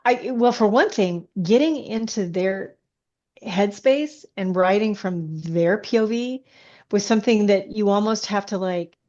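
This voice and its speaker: A woman's voice: medium pitch, unhurried, a little mellow, muffled recording. A woman speaking as an interviewed author, chatting informally and telling stories with frequent asides. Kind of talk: casual conversational interview.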